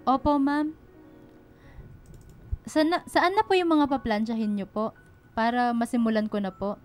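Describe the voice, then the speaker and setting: A woman's voice: a little high, unhurried, faint music behind, natural voice. A woman playing an honest, hardworking laundrywoman in a radio drama, earnest and polite. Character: honest, hardworking, humble laundrywoman and single mother. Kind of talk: Filipino radio drama acting.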